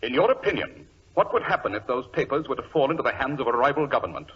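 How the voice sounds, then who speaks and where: A man playing a keen, self-assured English detective in a 1940s radio drama, questioning crisply with a British accent. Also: medium pitch, sing-song, quick pace, lightly acted. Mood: probing. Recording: dull, lo-fi old radio recording.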